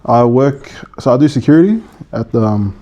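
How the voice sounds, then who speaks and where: Mellow-voiced young man chatting easily. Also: medium pitch, expressive, moderate pace, lo-fi sound. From Samoa. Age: 20s. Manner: easygoing, laughs often, many ums. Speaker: podcast guest. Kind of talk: casual podcast chat.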